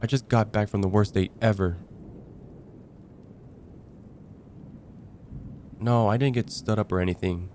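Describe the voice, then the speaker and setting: A young man's lowish, very soft-edged voice, acted as a casual heartbreaker friend in an ASMR roleplay. He talks conversationally and hesitantly, with sighs and trailing pauses. A faint thunderstorm rumble sits behind him. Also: fairly even intonation, lightly acted. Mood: pleading, casual. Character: casual young male heartbreaker friend confiding about dating.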